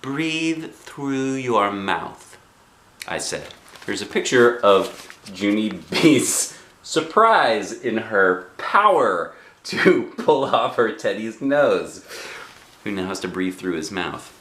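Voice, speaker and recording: A man reading a children's book aloud in an expressive storytelling style. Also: fairly low pitch, slow pace, echoey room. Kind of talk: animated storybook narration.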